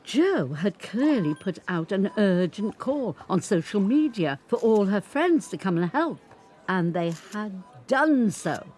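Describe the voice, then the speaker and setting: An elderly grandmother recounts family history in a dramatic monologue. She speaks slowly and deliberately at a middling pitch, measuring out each phrase like a practised storyteller. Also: sing-song, a little mellow, a little hiss or hum. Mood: measured, grave. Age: older. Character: 85-year-old grandmother recounting a family confrontation. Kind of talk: dramatic monologue, scripted narration.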